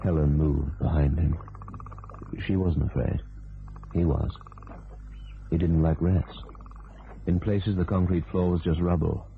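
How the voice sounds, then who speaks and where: A man narrating a grim crime thriller radio play in terse, deliberate sentences with a very deep, gravelly voice. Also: steady tone, a little hiss or hum. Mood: grim, suspenseful. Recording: tinny band-limited radio recording, music behind.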